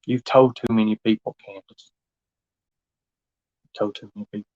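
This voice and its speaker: A man's voice: fairly low pitch, fairly even intonation, normal speed, dull audio. A man livestreaming in an insistent, repetitive, confrontational way. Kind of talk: impassioned monologue to an absent person.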